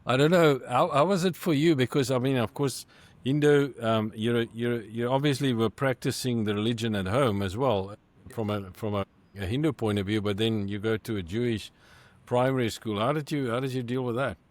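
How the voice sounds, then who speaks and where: A man's voice: medium pitch, normal speed, dry recording. Earnest male podcast host. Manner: earnest, repeats words while framing questions. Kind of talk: interview hosting.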